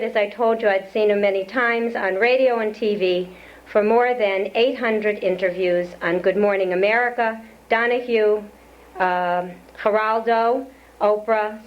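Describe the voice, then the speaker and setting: A woman acting as event host, introducing a guest speaker with personal asides before reading his credentials. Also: mid-range voice. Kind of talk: formal introduction. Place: community center hall. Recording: lo-fi 1992 lecture tape.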